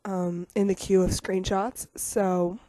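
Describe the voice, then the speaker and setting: Young woman, rough post-cold voice, mellow dark tone, chatty and self-deprecating. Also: medium pitch, level tone, slow, no room sound. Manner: chatty, with many ums and self-deprecating asides. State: rough voice from getting over a cold. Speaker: YouTuber narrating a game speed build. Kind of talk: casual voice-over commentary.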